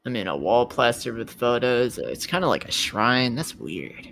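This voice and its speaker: A man playing a tabletop roleplay, chatting casually and describing his character's actions in halting phrases. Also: mid-range voice, very animated, creaky, a bit bright.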